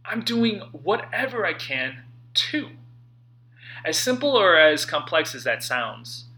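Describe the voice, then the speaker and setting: A man in his late thirties reading his own motivational book aloud in an earnest, conversational way with dramatic pauses. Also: on the high side, very animated, bright, forceful tone. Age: late 30s. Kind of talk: personal audiobook narration, motivational.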